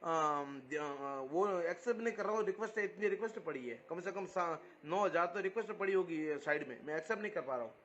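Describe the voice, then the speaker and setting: A man's voice: on the high side, expressive, fairly quick, hardly any pauses, fairly bright tone, a little hiss or hum. A man with a Rajasthani accent arguing on a phone call, explaining insistently and repeating his phrases. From Rajasthan, India. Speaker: community activist on a phone call. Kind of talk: argumentative phone conversation. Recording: muffled lo-fi phone call recording.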